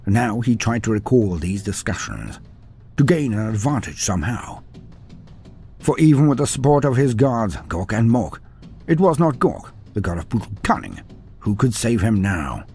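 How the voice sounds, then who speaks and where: A man's voice: fairly low pitch, very animated, normal speed, a little mellow, faint music behind. A man reading a fantasy story aloud with a dramatic delivery, his voice rising and falling through the telling. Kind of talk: scripted fantasy story narration.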